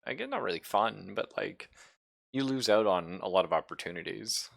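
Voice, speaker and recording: A man's voice: on the low side, lively intonation, normal speed, creaky, no room sound, clean studio sound. A man chatting casually with a group as the game master of an online tabletop role-playing game. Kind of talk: casual group chat while running a game.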